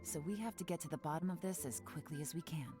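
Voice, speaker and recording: A woman voicing a sharp-minded female investigator in a video game, calm and measured as she reasons things through. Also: deep voice, natural voice. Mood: reasoning. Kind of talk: game voice acting. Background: game music.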